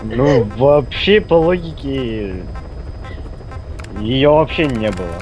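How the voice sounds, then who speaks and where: A man's voice: mid-range voice, animated, slow, darkish tone, muffled recording, faint music behind. A man chatting casually during a game in a hesitant, puzzled way. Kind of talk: casual chat while gaming.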